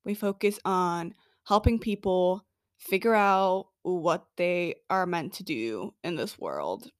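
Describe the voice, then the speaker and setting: A woman's voice: on the low side, expressive, slow, dry recording, clean studio sound. A woman in her twenties from Norway hosting her own podcast, chatting casually and upbeat, with lots of "like" and fillers. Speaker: podcast host. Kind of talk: casual solo podcast monologue.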